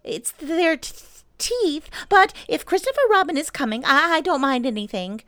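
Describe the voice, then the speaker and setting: Woman as a high, stammering timid little piglet. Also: very animated, normal speed, bright, forceful tone, dry recording, clean studio sound, exaggerated voice. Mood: anxious. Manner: nervous stammer. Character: small, timid, anxious male piglet. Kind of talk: children's storybook character voice.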